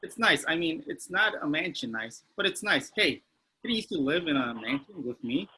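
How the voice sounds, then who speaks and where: A petty, boastful rich old man, read by a man at a table read. The voice is husky yet sharp, pitched a little high. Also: animated, normal speed, heavily acted, low-quality recording. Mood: snide.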